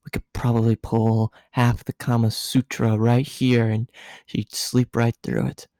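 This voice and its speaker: Man reading as a bossy, teasing teenage girl, mellow. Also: mid-range voice, level tone, unhurried, no room sound, close to an everyday voice.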